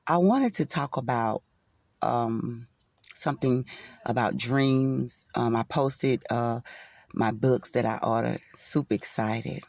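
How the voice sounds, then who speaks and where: A man with a stuffy nose talks to his followers in a gravelly, creaky voice, earnest and a little preachy. He leans on fillers and keeps saying "you know." The sound is close and dry, with no room around it. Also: mid-range voice, slow, a little mellow, no echo. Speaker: faith vlogger. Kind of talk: casual spiritual talk to camera.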